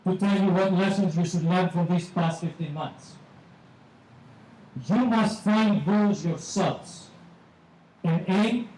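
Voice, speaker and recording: A man with a Greek accent giving a measured graduation speech as a teacher, repeating phrases for emphasis. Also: high voice, a little mellow. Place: large hall at a ceremony. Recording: echoey hall, distant mic, faint noise.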